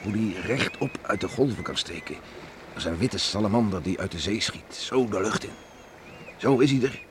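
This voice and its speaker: Radio play: older farmer father, low voice, reflective, rustic, concerned; noisy vintage recording. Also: lively intonation, moderate pace. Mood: musing, vivid. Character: ageing island farmer father, plain-spoken, reflective, concerned. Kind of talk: Dutch radio drama acting.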